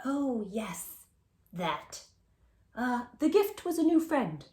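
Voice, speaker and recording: A woman reading a picture book aloud to young children, with dramatic emphasis, hushed asides and questions to her listeners. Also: on the high side, very animated, slow, reverberant. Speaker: children's storytime host.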